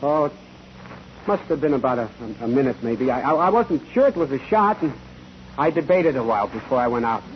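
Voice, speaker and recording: A man's voice: mid-range voice, sing-song, a little fast, lightly acted. An actor playing a cautious male witness who stammers uncertainly, in 1940s radio style. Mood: hesitant. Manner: stammering, unsure. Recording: dull, noisy 1940s radio recording.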